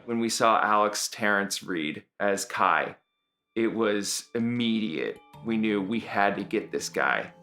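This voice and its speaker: Bright-voiced man telling casting stories. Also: fairly low pitch, lively intonation, slow pace, faint music behind. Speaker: producer. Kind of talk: featurette interview.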